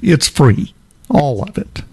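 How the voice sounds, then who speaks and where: A man hosts a relaxed radio interview, his voice sitting a bit high for a man. He talks emphatically and jokes easily, leaning into the points he wants listeners to remember. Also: slow, creaky, husky. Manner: emphatic, animated, joking. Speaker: radio host.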